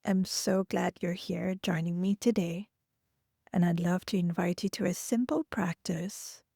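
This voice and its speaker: Slow, mellow woman guiding gentle relaxation. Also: on the low side, steady tone, dry recording, clean studio sound. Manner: gentle, soothing, often trailing into breathy near-whisper. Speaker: yoga nidra instructor. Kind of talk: guided relaxation and breathwork instruction. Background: soft music.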